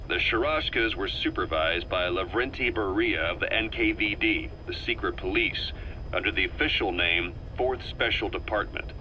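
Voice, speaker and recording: An actor voicing a worldly, self-assured military interrogator in a video game, wry and loyal, telling things in a measured, explanatory way. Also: male voice, on the low side, pushed and bright, a little hiss or hum, rough recording. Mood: calm, explanatory. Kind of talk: scripted video game voice acting.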